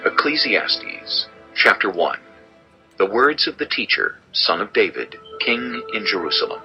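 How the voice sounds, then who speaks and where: A man narrating a dramatized scripture recording in a formal, measured announcing style. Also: lowish voice, sing-song, pushed and bright, low-quality recording. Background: background music.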